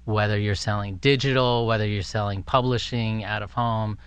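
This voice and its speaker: A man with a fairly low, smooth voice answers as an interview guest. His delivery is conversational and halting, like an executive explaining things in a measured way. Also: steady tone, no echo.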